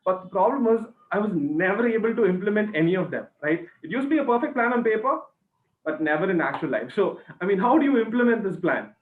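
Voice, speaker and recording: A man's voice: high-pitched, lively intonation, fast talker, a little hiss or hum. A man in his twenties with an Indian accent, hosting a casual discussion and often tagging his points with questions. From Chennai, India. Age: 20s. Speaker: student panel host. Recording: echoey, thin phone-like video-call sound.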